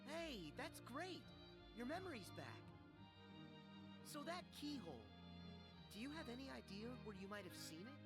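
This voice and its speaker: A woman's voice: a little high, sing-song, normal speed, pauses often. A woman voicing an eager, friendly young boy hero in a video game, upbeat and earnest. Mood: upbeat, encouraging. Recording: music behind the voice.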